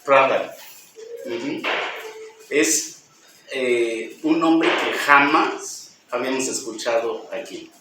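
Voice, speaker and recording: A man conducts a relaxed interview, speaking slowly and dropping in short sounds of agreement. He sits well back from the mic in a very echoey space. Clear background noise runs underneath. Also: medium pitch. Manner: unhurried, with frequent backchannel agreement. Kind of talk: casual interview. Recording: echoey, distant, with noticeable noise.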